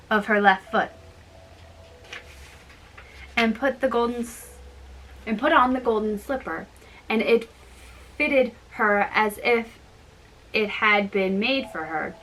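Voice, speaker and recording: A woman storyteller reading fairytales aloud in a halting, deliberate way. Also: on the high side, a bit bright, slight background noise, poor audio.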